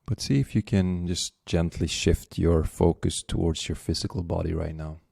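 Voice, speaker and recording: A man leading a guided meditation as the guide, speaking gently and unhurriedly with long pauses. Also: low-pitched, steady tone, vocal fry, husky, dry recording, clean studio sound.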